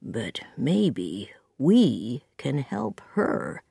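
A woman voicing a quiet, shy, gentle farmer in a storybook, speaking slowly and hesitantly. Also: low-pitched, very animated, dry recording, clean studio sound, full character voice.

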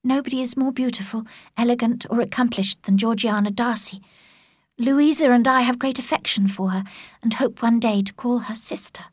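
Woman reading as a soft-spoken young sister. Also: on the high side, normal speed, no echo, studio quality, voice pushed up, close to an everyday voice. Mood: hurt, subdued. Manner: soft, subdued. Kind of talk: audiobook character voice.

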